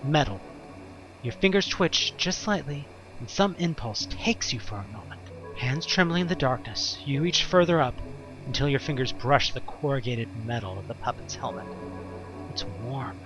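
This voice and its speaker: A man's voice: mid-range voice, expressive, normal speed, muffled recording. A man narrating a second-person horror story in a theatrical, suspenseful way, with wry, casual asides. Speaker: narrator of an audio drama. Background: music.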